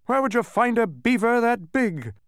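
A man's voice: very high-pitched, sing-song, normal speed, no room sound, studio quality, voice pushed up. A man voicing a blustering, grumbling male insect humbug in a storybook. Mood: grumbling, baffled.

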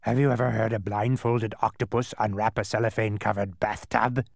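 A man voicing a hoarse, croaking, eccentric male doctor who fires odd questions. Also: steady tone, brisk, no echo, studio quality. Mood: raspy, quizzing. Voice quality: raspy, croaking. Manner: booming, insistent questions.

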